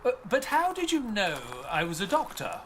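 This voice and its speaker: A man's voice: extremely high pitch, big pitch swings, moderate pace, fairly bright tone, a little hiss or hum, heavily acted. An actor playing a polite, earnest male military doctor who also narrates the story of an audio drama. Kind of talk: first-person narration and dialogue in an audio drama.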